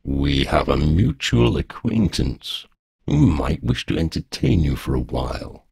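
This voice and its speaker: A man reading a story, voicing a huge female dragon who speaks slowly with smug, rumbling menace. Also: very animated, gravelly, darkish tone, husky, dry recording, studio quality, full character voice. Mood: gloating. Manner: slow, rumbling, gloating.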